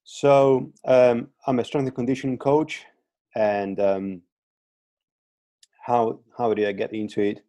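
A man's voice: mid-range voice, level tone, slow, long pauses, dark tone, no echo. A man in his thirties from Greece with a Greek accent, speaking English as a second language as a coach guest on a podcast, hesitant with repeated words and fillers. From Greece (Crete). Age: 30s. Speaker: strength and conditioning coach, guest. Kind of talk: casual podcast interview.

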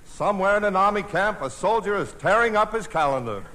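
A man's voice: high voice, lively intonation, brisk, pushed and bright. A man playing a grave, melodramatic news commentator in an old radio comedy parody. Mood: mournful, portentous. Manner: melodramatic, portentous. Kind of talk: 1950s radio newscast parody. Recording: very noisy old radio recording.

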